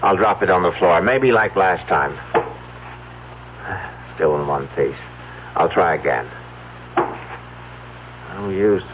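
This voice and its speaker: A man acting an excitable, determined husband at a farmhouse, halting and exclamatory, in a 1970s radio drama. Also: deep voice, noisy background. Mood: frustrated, determined. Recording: muffled, humming old radio recording.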